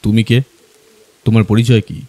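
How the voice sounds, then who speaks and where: A man's voice: fairly low pitch, little pitch movement, moderate pace, dark tone, dry recording, natural voice. A man playing a stern, composed detective in an audio drama, questioning with firm authority. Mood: probing. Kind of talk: Bengali audio drama acting.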